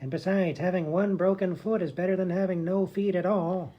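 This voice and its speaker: A woman's voice: fairly low pitch, steady tone, brisk, close to an everyday voice. A woman playing a crabby, self-pitying old male hospital grump in a comedy radio sketch, blunt and dismissive. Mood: grumpy, dismissive. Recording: dull, muffled audio.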